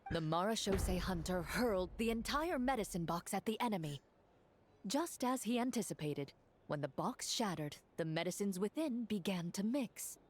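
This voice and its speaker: A woman voicing a composed, analytical game master, narrating a fantasy battle in a measured, precise, formal way. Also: mid-range voice, animated, close to an everyday voice. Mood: storytelling. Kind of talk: scripted narration in a video game.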